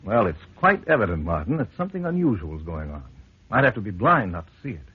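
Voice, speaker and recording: Tinny old-radio measured male mystery storyteller. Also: on the low side, big pitch swings, slightly rough, exaggerated voice. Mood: concerned, friendly. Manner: measured, formal, theatrical. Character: courteous mystery storyteller, helpful old college friend. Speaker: host and storyteller. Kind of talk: old-time radio drama narration.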